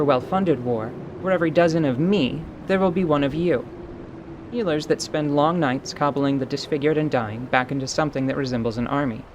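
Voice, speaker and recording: A man voicing a battle-worn, winged fantasy mercenary in an audio drama, stoic and wry, speaking in a dry, formal, deliberate way. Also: a little high, background noise, close to an everyday voice.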